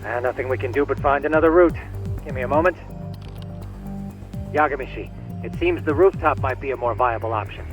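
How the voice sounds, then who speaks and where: A man's voice: mid-range voice, fairly quick, pauses often, faint music behind, natural voice. An actor playing a chatty, eager young male hacker in a video game, speaking in a formal, theatrical, wordy way. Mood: helpful, composed. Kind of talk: English video game voice acting.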